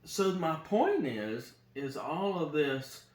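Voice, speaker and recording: A man's voice: a little high, very animated, slow, roomy sound. A man talking casually with his wife about faith and marriage, earnest and preachy, often repeating himself. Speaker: co-host, husband.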